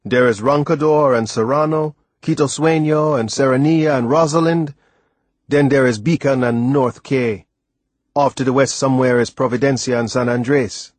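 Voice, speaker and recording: A man voicing a hearty, reassuring old West Indian seaman in an audiobook, speaking dialect emphatically and deliberately. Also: medium pitch, fairly even intonation, no room sound, voice pushed up, close to an everyday voice. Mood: grave, careful. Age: older. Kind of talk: audiobook character voice.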